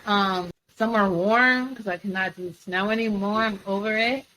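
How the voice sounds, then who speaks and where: A woman's voice: mid-range voice, steady tone, normal speed, bright, forceful tone, slight background noise, low-quality recording. A woman in her twenties, a track athlete and sports reporter, talking earnestly and with slang as a guest on a casual podcast. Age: 20s.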